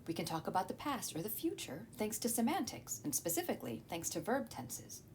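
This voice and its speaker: A woman narrating a scripted educational video as a teacher, explaining clearly. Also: big pitch swings, a little fast, darkish tone. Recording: noticeable low rumbling background noise.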